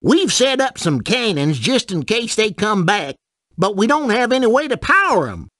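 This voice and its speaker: A man's voice: high voice, sing-song, normal speed, a bit bright, no room sound, studio quality, exaggerated voice. A man voicing a simple, good-natured video game farmer with a folksy rural American accent. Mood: earnest, folksy. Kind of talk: video game character dialogue.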